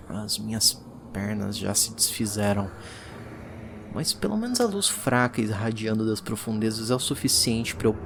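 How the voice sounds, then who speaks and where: A Brazilian man playing a weary, haunted nineteenth-century occult expedition diarist, reading his horror journal gravely with slow pauses. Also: on the low side, close to an everyday voice. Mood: weakened, uneasy.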